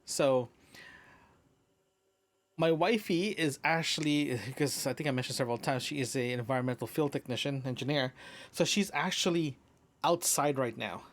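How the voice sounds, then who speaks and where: A man hosting a casual audio diary, thinking aloud in a halting, rambling way. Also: medium pitch. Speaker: podcast host.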